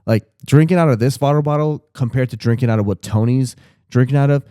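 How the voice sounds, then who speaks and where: A man's voice: mid-range voice, fast, warm tone, clear, resonant tone, no room sound, clean studio sound. A man in his thirties talking casually on a podcast, candid and rambling, with restarts and casual swearing. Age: 30s. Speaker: podcast co-host.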